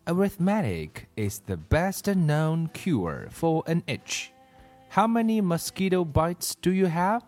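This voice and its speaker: A man voicing a brisk, insistent female schoolteacher in a children's storybook, firm and matter-of-fact. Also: fairly high pitch, very animated, darkish tone, faint music behind, pitched above the performer's own voice.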